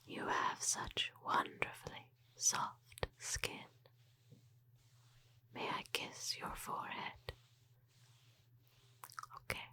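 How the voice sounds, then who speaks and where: A woman playing a kind, aristocratic vampire in a whispered ASMR roleplay, speaking gently and soothingly with formal, old-fashioned phrasing. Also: drawn-out words, pauses often. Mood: tender, soothing. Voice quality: breathy, hushed whisper. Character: kind, doting, aristocratic female vampire owner.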